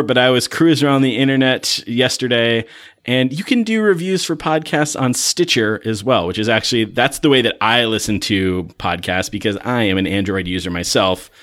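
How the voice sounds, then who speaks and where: A man's voice: mid-range voice, fairly bright tone. Casual male podcast co-host. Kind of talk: casual co-hosted podcast chat.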